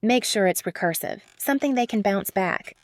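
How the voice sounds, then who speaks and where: Woman acting anxious astrophysicist, sci-fi audio drama, medium pitch, apprehensive, serious, dry close sound. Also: animated, normal speed, dry recording, close to an everyday voice. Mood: wary, questioning. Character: anxious, cautious female astrophysicist.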